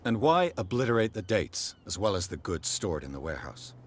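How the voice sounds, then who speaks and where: An actor playing a terse, gruff private investigator who questions people bluntly in a video game, with a husky voice. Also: male voice, low voice, big pitch swings, brisk, a little hiss or hum. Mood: probing. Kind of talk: video game cutscene dialogue.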